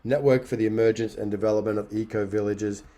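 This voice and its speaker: Mellow-voiced man reading an introduction. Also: on the low side, fairly even intonation, fast talker. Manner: reads with slips and self-corrections. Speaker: moderator.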